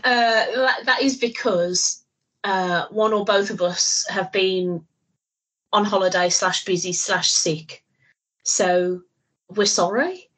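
A woman with a husky, stuffy-nosed voice from a cold chats on a podcast. She talks in a loose, giggly way and swears freely. Her voice sits at an ordinary pitch for a woman. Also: fairly even intonation, slow, fairly bright tone. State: nasal from a cold. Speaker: podcast co-host. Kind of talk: casual chat.

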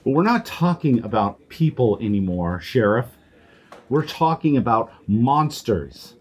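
Man playing a very husky, indignant challenger. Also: mid-range voice, sing-song, unhurried. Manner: confrontational, pointed. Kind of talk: audio drama acting.

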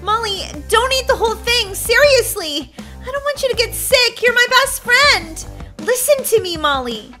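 Woman as an anxious, high-pitched schoolgirl. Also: sing-song, moderate pace, over music. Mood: worried. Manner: plaintive, melodramatic. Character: anxious, dramatic schoolgirl grieving her best friend.

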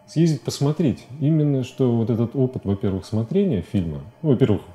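A man in his forties talking casually as a studio interview guest, colloquial and halting as he thinks aloud. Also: mid-range voice, animated, dark tone, soft background music. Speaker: interview guest, animation filmmaker. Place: TV studio, indoors.

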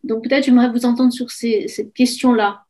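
A woman's voice: a little high, steady tone, brisk, mellow. A woman in her sixties conducting an academic interview, asking long, reflective, winding questions. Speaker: interviewer, emeritus professor of architecture. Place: home office with bookshelves. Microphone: computer video call.

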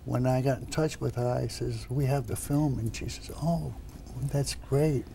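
A man, reminiscing haltingly as a guest in a television studio interview. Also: medium pitch, gravelly, dark tone, a little hiss or hum. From Brooklyn, New York. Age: 70s. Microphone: lapel mic.

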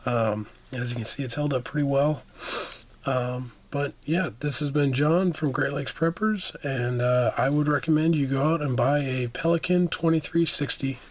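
A man with a hoarse, under-the-weather voice, reviewing gear in an informal, halting, plain-spoken way as host of a video. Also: mid-range voice. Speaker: product reviewer and channel host. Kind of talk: casual hands-on product review. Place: indoors, carpeted room.

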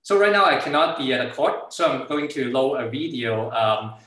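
A man's voice: medium pitch, lively intonation, normal speed, sharp tone, very echoey, low-quality recording. A middle-aged man from Hong Kong, a native Cantonese speaker with a Hong Kong accent, giving an informal startup presentation over a video call. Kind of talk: informal slide presentation with product demo. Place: indoor room, on a video call.

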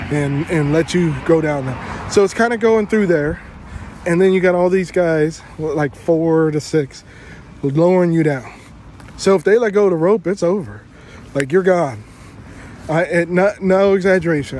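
A young man recounts a trip in a loose, animated way, hamming up the scary parts as he goes. His voice sits a touch high for a man, and a faint hum of outdoor traffic runs under the phone recording. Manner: animated, exaggerating for effect, lots of fillers. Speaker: travel vlogger. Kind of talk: casual storytelling to camera. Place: outdoors among trees.

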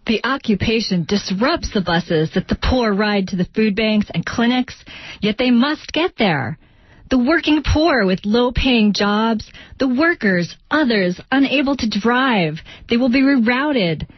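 A woman with a husky voice playing a worried bus rider in a readers theater monologue. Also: on the high side, expressive, measured pace, dry recording. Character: worried working-poor bus rider and parent, pleading for others. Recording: dull, dry lo-fi radio recording.

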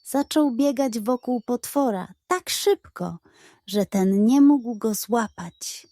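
A woman reading a scripted bedtime fairy tale as storyteller, in an expressive, measured way. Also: a little high, no echo.

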